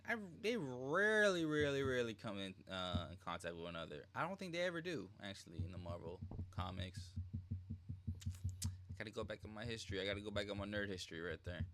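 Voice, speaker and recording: A man's voice: low voice, pushed and bright. A man giving casual, slangy live reaction commentary as a streamer, rambling on a close mic.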